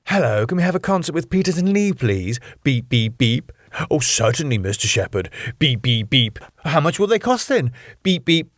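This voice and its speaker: A man's voice: a little high, sing-song, normal speed, no echo, studio quality. A man playing a melodramatic, sardonic woman in a scripted sitcom, with a theatrical delivery. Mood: sardonic, mocking. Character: melodramatic, sardonic woman, tango-dancing ballroom co-owner.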